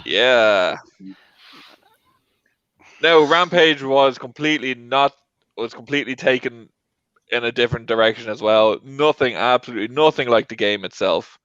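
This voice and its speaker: A man's voice: medium pitch, normal speed, long pauses, a bit bright, clear, resonant tone, no room sound. A man hosting a casual panel discussion, introducing items and chatting with guests. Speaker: host of movie debate show. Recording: dry, dull lo-fi audio.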